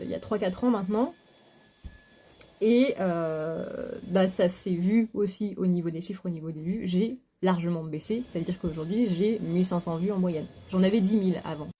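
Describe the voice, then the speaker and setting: Chatty woman vlogging, mellow voice. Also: mid-range voice. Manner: chatty, frank, many fillers and restarts. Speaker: spiritual YouTuber. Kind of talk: casual talking-to-camera monologue. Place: home, vacuum cleaner running nearby.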